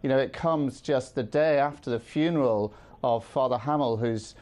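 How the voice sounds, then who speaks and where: A man's voice: mid-range voice, a little mellow. Earnest middle-aged man in a news interview. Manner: measured, earnest, with frequent ums. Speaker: interview guest. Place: studio with city view.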